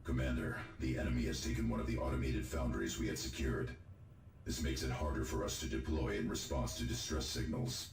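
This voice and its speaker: An actor playing a formal military adviser, giving a measured mission briefing in a video game. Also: male voice, a bass voice, gravelly, darkish tone. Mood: grave. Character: formal military adviser reporting to his commander. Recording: reverberant game audio under music.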